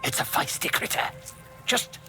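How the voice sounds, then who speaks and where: An actor playing an eccentric, bungling, overconfident bard in a video game, with theatrical, flowery speech. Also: male voice, normal speed, bright, forceful tone, soft background music. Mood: gleeful, absorbed. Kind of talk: video game character acting.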